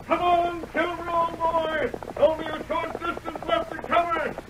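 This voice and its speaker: A man's voice: extremely high pitch, monotone, measured pace. An actor playing a bold masked cowboy hero calling out urgently to his horse in an old radio western. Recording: noisy old radio recording.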